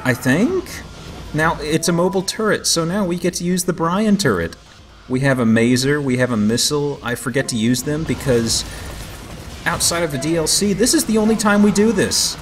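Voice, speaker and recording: A man's voice: fairly high pitch, normal speed. A man hosting a let's play, giving casual, joking gaming commentary. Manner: joking banter. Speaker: let's play host playing the game. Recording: game music behind the voice. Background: video game music.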